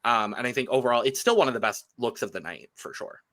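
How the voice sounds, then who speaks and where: A man's voice: mid-range voice, quick pace, a bit bright. A man co-hosting a podcast, giving casual, opinionated reviews of fashion looks. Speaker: podcast co-host reviewing drag runway looks.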